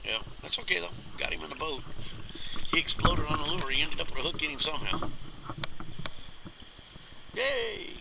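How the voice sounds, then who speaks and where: A man's voice: a little high, animated, moderate pace, gravelly, long pauses. A man hosting a fishing video with casual, folksy, exclamatory running commentary, recorded outdoors on a handheld camera in a small boat. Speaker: fishing vlog host. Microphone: handheld camera's built-in microphone. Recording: noisy outdoor camera, distant voice, clicks.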